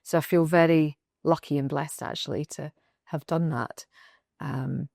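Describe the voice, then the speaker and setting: A woman's voice: sits low, fairly even intonation, measured pace, creaky, darkish tone, no room sound, clean studio sound. A Scottish woman in her forties from the Shetland Islands, a podcast guest talking enthusiastically and rambling a little with restarts. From Shetland Islands, Scotland. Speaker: guest, fractional marketing chief. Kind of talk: casual interview chat.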